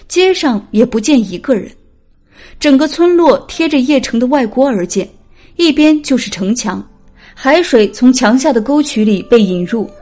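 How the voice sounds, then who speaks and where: A woman reads a novel aloud as an audiobook narrator. Her voice sits fairly high. The delivery is scripted, dramatic storytelling. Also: a little mellow.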